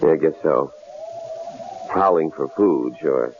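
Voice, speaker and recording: A man acting a shrewd, self-satisfied middle-aged professor in a radio drama, with a gravelly voice and a halting, folksy delivery. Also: a bass voice, darkish tone, full character voice. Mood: relieved, reassuring. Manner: halting, repeats words. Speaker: actor. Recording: dull, noisy vintage radio drama recording.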